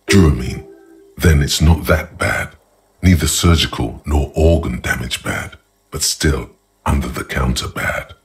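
A man voicing a grave, gravelly inner narrator of a wounded detective's mind in a literary video game, measured and deadpan. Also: very deep voice, full character voice. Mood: somber, brooding. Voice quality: husky, gravelly.

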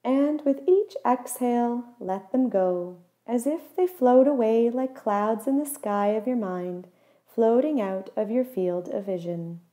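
A woman with a fairly high, very mellow, relaxed voice guides a meditation. She gives each instruction gently and in measured phrases, softening at the ends. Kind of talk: guided body scan meditation.

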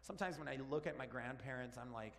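A man in his forties from Argentina, a native Spanish speaker, preaching an animated, joking sermon while walking around the stage. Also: medium pitch, quick pace, creaky. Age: 40s. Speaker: pastor. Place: large church sanctuary. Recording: long church hall reverb.

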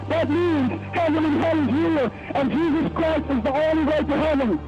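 A man's voice: very high-pitched, moderate pace, muffled recording, over loud music. A man street preaching outdoors on a rainy city corner, urging passersby with repetitive calls to repent. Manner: urgent, repetitive exhortation. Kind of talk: open-air street preaching.

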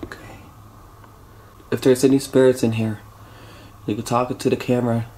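A man in his late twenties exploring an abandoned house at night, talking low to his handheld camera. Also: flat delivery, normal speed, warm tone. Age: late 20s. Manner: low running commentary to camera. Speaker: paranormal urban explorer. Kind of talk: solo night exploration vlog. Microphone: handheld camera mic. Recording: noisy handheld camera audio.

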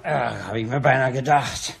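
An actor playing a cocky, cowardly petty crook and addict in a crime radio play, talking in brash, sneering slang. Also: male voice, mid-range voice, moderate pace, gravelly, noisy background.

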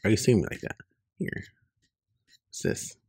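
A man reading webcomic dialogue aloud in an informal way, loosely acting out the lines, with a gravelly, husky voice. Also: measured pace, a little mellow, no echo. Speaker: online comic reader.

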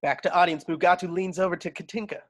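A man reads out stage directions as narrator of an online table read. His voice sits a little higher than most men's and is picked up over a video call. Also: steady tone, quick pace, a little hiss or hum.

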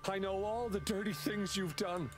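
A man's voice: high voice, moderate pace, music in the background, natural voice. An actor playing a frightened but defiant young man in a video game, earnest and stammering. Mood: scared, defiant.

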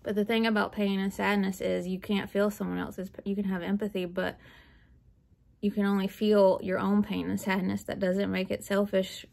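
A woman's voice: medium pitch, level tone, normal speed, creaky, a little mellow. A woman vlogging from her living room floor, talking candidly and bluntly in a rambling way. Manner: blunt, rambling, laughs nervously. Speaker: book vlogger. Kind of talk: candid personal talk.

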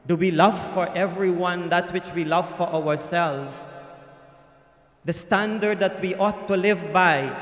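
A middle-aged man giving a Friday sermon in a mosque, speaking into a stand mic, slow and measured, with rhetorical questions and chanted scripture. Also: high voice. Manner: chants Arabic scripture quotations. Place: mosque prayer hall, indoors. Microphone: stand mic at the mouth. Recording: echoey prayer hall with long reverb.

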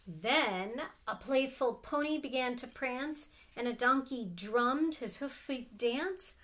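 A middle-aged woman reading a picture book aloud to children, expressive and sing-song, with casual asides. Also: high-pitched, slow pace. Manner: expressive, sing-song, asking the listeners questions. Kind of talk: animated read-aloud storytelling with casual asides. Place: small room at home with sloped ceiling.

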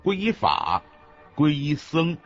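A man's voice: a little high, wildly swooping pitch, takes it slowly. A man solemnly reciting scripted religious teachings in a radio lecture. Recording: dull lo-fi audio with background music.

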